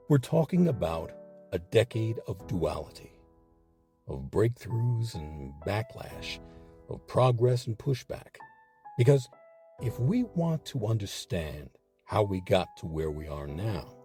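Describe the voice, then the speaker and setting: Male narrator, low mellow voice, emphatic and measured, swinging widely in pitch for scripted documentary storytelling. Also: gravelly. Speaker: host and narrator of a history series.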